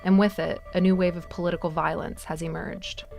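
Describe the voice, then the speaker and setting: A woman's voice: fairly low pitch, little pitch movement, fairly quick, gravelly, loud music behind the voice. An American woman reporter delivering scripted news narration.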